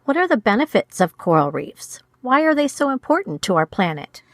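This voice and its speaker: A woman's voice: on the high side, sing-song, brisk. A woman hosting a podcast, putting curious questions to her guest. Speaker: podcast host asking questions.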